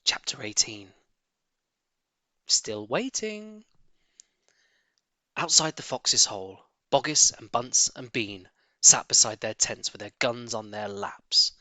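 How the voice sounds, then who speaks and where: A man reading a children's storybook aloud in an expressive, theatrical style. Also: mid-range voice, takes it slowly, long pauses, dry recording. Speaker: audiobook reader. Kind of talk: animated scripted narration of a children's storybook.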